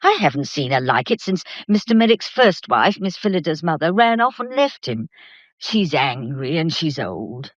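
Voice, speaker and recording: A woman's voice: low voice, sing-song, normal speed, no echo, dull audio. An actress playing a long-serving lady's maid in a period drama, plain-spoken, disapproving and ominous. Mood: worried, ominous. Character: grumbling, foreboding old family lady's maid. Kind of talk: period radio drama acting.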